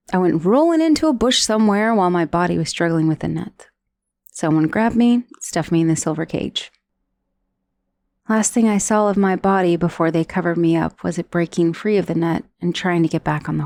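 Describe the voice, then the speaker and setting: A woman's voice: on the low side, a little mellow, dry recording, close to an everyday voice. A woman playing an undead dullahan's severed head in an ASMR roleplay, conversational and dryly sardonic, menacing yet wistful, with sighs and hesitations.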